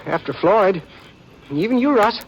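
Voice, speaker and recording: An actor playing a nervous, treacherous small outlaw in a radio western, with folksy, hesitant rural speech on an old recording. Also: male voice, sits high, expressive, moderate pace, lo-fi sound. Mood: menacing, agitated. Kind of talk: 1950s radio western acting. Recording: noisy vintage radio recording.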